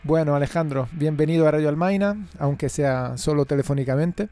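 A man's voice: on the high side, a little fast, mellow, faint music behind. A man from outside Spain presenting and interviewing on the radio.

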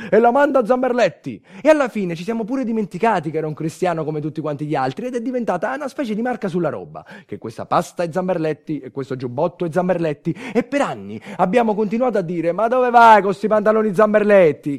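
Southern italian villager telling a bitter story, radio drama, higher voice, talks fast, wry and emphatic. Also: male voice, darkish tone. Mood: wry, animated. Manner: colloquial, wry, with emphatic repetitions. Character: male Irpinian villager recounting the earthquake, bitter, ironic. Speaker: stage and radio narrator. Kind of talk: dramatic theatrical monologue.

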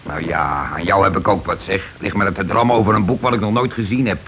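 An actor playing a gruff, bossy, irritable working-class husband in a radio play, with coarse, nagging, impatient talk. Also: male voice, sits low, steady tone, quick pace, a bit bright. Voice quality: coarse colloquial speech. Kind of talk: naturalistic radio play acting. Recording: dull, noisy old radio recording.

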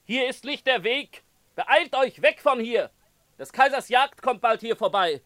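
Very high-pitched, urgent attendant. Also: male voice, lively intonation, a little slow, fairly bright tone, no echo, heavily acted. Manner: urgent, calling out. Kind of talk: German radio play acting.

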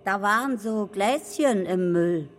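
A woman's voice: medium pitch, animated, slow pace. A reluctant female neighbour with a mid-range voice. Her answers are curt, defensive and grudging, in a naturalistic radio crime drama with music underneath. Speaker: neighbour being questioned by police.

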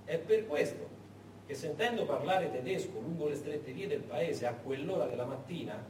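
A man narrating a scripted theatrical story in a dramatic, emphatic way, with suspenseful pauses. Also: fairly high pitch, lively intonation, darkish tone. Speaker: stage narrator. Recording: echoey hall, distant mic, noisy.

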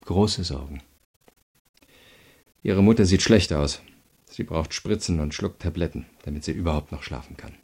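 Radio crime drama prosecutor: low, creaky, subdued timbre, calm but insistent interrogator. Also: male voice. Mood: grave, probing. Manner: measured, insistent, pointed questioning. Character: calm, probing male state prosecutor interrogating a prisoner.

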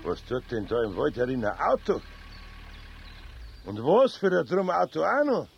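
An actor playing a grumbling but caring old Bavarian man in a children's radio play, with a homely, expressive Bavarian manner of speech. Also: medium pitch, moderate pace, vocal fry, dull audio. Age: older. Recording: noisy 1970s radio play recording.

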